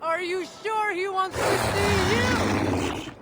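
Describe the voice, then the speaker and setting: A woman's voice: extremely high pitch, slow, heavy background noise. An actress playing a menacing, scornful queen of the underworld in a video game, taunting and theatrical. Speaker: voice actor. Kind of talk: dramatic video game acting.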